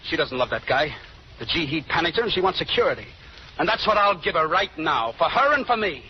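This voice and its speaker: An actor playing a brash, grandiose, menacing gangster boss in old-time radio drama style. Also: male voice, on the high side, animated, brisk, lightly acted. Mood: cocky, scheming. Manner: brash, snarling, boastful tough-guy talk. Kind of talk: 1930s radio drama acting. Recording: muffled, noisy old radio recording.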